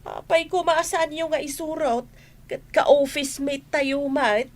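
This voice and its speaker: A woman's voice: high-pitched, measured pace. A woman with an Ilocano accent playing a caring motherly village elder in a radio comedy drama. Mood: reassuring, insistent.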